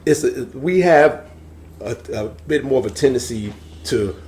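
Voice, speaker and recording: A man with a medium-pitched voice gives his opinions as a co-host in casual conversation. He talks colloquially and circles back over his phrases to make a point. There is faint noise behind him. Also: lively intonation, a little slow, darkish tone. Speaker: podcast co-host. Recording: faint background room noise.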